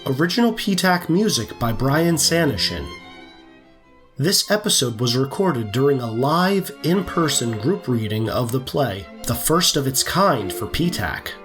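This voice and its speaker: A man's voice: mid-range voice, sing-song, a little slow, fairly bright tone. A man reading scripted credits as the announcer of an audio drama series. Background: music with violin.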